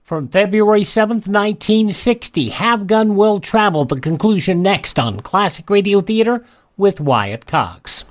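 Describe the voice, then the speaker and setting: A man's voice: high voice, lively intonation, measured pace, faint music behind. An American man hosting a radio show, announcing and reading an advertisement in a presenter's style at a studio microphone. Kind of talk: announcing and host-read ad.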